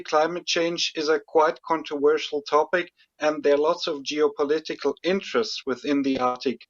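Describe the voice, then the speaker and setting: A young man from Germany with a slightly raised pitch asks a formal, prepared question. The recording is dry and sounds dull and lo-fi. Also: a bit bright, no room sound. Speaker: young diplomat asking a question.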